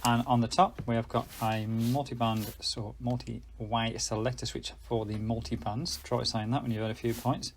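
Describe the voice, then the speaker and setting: A man's voice: mid-range voice, steady tone, moderate pace, few pauses, a little hiss or hum. A man presenting a casual hands-on gadget review in a rambling way, often correcting himself and getting slightly tongue-tied.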